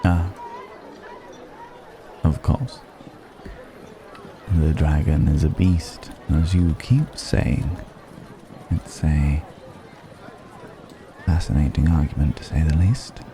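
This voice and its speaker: Man acting a very deep, gravelly dragon stranger. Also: background noise, exaggerated voice. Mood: wry, teasing. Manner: deliberate, musing, gently mocking, long pauses. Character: suave, probing male dragon posing as a curious stranger. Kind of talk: ASMR roleplay, intimate dramatic monologue. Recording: background music and crowd murmur.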